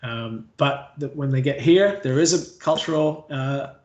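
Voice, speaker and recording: A man's voice: mid-range voice, a little slow, darkish tone, echoey room, highs cut off. A man from Canada with a Canadian accent, presenting a staff training webinar on water safety, with frequent ums, uhs and restarts. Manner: hesitant, many fillers and restarts.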